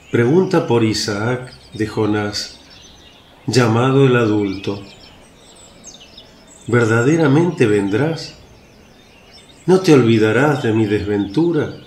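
A man's voice: on the low side, darkish tone. An actor playing a humble old father in a radio play, reverent and emotional. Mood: pleading. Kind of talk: Spanish-language radio play acting. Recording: roomy echo, faint birdsong noise.

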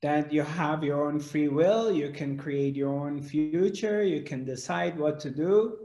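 A man's voice: on the high side, unhurried, dark tone. A man in his forties giving a spiritual talk to a webcam at home, asking question after question and gesturing broadly. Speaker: spiritual teacher. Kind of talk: spiritual discourse to camera. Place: home room indoors. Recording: echoey room.

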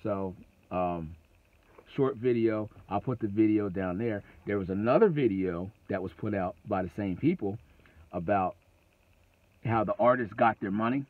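Gruff-toned man vlogging from his car. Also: on the low side, no room sound. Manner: conversational, emphatic, repeats phrases. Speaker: trucking vlogger. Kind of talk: casual advice vlog. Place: parked car, indoors. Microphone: phone camera at arm's length. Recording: tinny phone-like sound.

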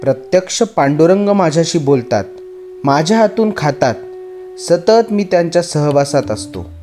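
A man's voice: on the high side, lively intonation, normal speed, mellow, faint music behind, lightly acted. A man playing a proud, easily offended saintly devotee with a self-important, dramatic manner in a children's story. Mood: smug.

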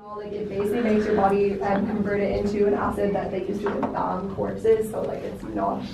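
A woman's voice: mid-range voice, brisk, vocal fry, recorded from a distance. A woman tour guide explaining a whiskey tasting to a group in a friendly, informative way. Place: indoor whiskey tasting room. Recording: echoey room, mic from afar, noisy.

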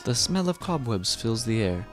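A woman's voice: extremely low pitch, very animated, moderate pace, faint music behind. A woman chatting casually and reacting while playing a video game. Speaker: gamer playing on camera-less let's play. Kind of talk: casual gaming chat and reactions.

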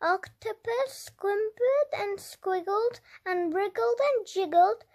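A young-sounding reader telling a children's story aloud with deliberate, dramatic emphasis. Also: extremely high pitch. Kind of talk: expressive read-aloud narration for young children.

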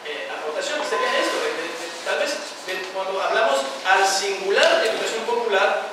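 A man in his forties, born in France and speaking a second language, giving a thoughtful, hesitant panel talk with many restarts. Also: high-pitched. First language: a regional language of France. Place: large classroom with panel audience. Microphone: handheld mic, far camera. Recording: echoey room, far mic, background hum.

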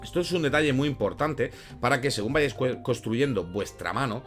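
A man's voice: mid-range voice, lively intonation, brisk. A young man with a Castilian Spanish accent explaining a game in an informal, didactic tutorial style, often rephrasing with examples. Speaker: gaming tutorial host. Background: background music with guitar.

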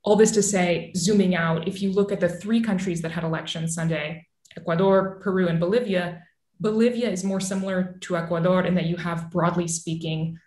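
A woman in her thirties explaining politics as an expert guest on a video call, articulate and measured. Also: on the low side, fairly even intonation, darkish tone. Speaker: newsletter author and analyst guest. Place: small home room with bookshelf, indoors. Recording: roomy, echoing video call audio.

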